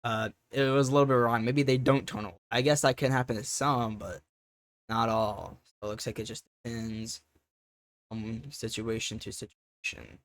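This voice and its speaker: A man's voice: medium pitch, flat delivery, moderate pace, no echo. A man giving casual off-the-cuff reaction commentary, thinking aloud hesitantly and often trailing off. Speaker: reaction video host.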